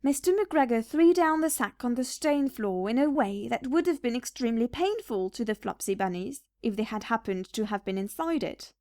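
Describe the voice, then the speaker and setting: Female narrator for children's read-aloud, fairly high and very melodic, expressive scripted delivery, dry sound. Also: moderate pace, few pauses, dry recording. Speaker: storybook narrator.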